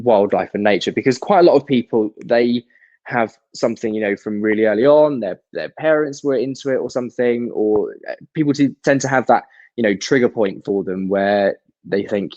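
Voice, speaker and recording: A man's voice: lowish voice, a little mellow, lo-fi sound. A man interviewing a guest, asking long, rambling questions with many hesitations.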